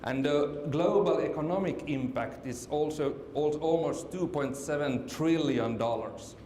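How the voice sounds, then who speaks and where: A man's voice: fairly high pitch, measured pace, dark tone. A middle-aged man from Finland giving a conference presentation, hesitant, with many fillers and restarts. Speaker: conference speaker. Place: conference hall. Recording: echoey hall with faint noise.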